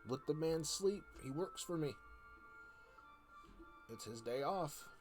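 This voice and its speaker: A man's voice: fairly high pitch, lively intonation, takes it slowly, darkish tone, soft background music. A man playing an easygoing court wizard who rambles and grumbles good-naturedly as he tells stories on a stream. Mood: wry, resigned. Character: easygoing court wizard chatting from his castle cabin. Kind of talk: in-character storytelling stream.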